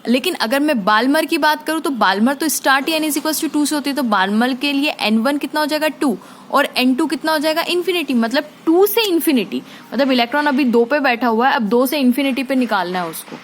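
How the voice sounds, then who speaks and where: A woman's voice: high voice, a little fast, slight background noise. A woman teacher explaining a physics problem step by step, often asking her students checking questions and mixing in English terms.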